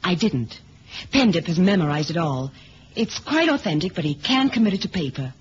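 An actress playing a self-assured American secret courier in a 1970s radio drama, cool and direct, with an American accent. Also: female voice, lowish voice, sing-song, moderate pace. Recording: dull lo-fi old radio recording, hiss.

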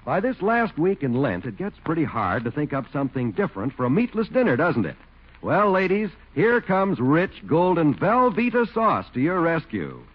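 A man's voice: fairly high pitch, huge pitch swings, moderate pace, slightly rough. A man reading a radio commercial as announcer, in a hearty, persuasive manner. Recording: dull old radio broadcast.

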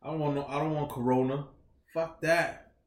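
A man's voice: a little high, fairly even intonation, moderate pace, echoey room. A man co-hosting a podcast, talking in brash, slangy casual chat with lots of cursing. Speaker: podcast co-host.

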